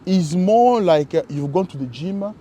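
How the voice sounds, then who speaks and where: A man co-hosting a casual conversation, reflective and halting, often restarting his sentences. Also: high-pitched, lively intonation, warm tone, highs cut off, a little hiss or hum. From Congo. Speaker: co-host.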